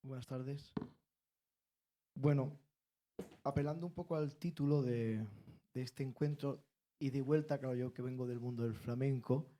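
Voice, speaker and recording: A man's voice: mid-range voice, steady tone, normal speed, pauses often, warm tone. A man from the flamenco world giving a lecture-style panel talk, intellectual and emphatic, repeating key phrases. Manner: intellectual, emphatic repetitions, builds arguments. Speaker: panel speaker, flamenco researcher.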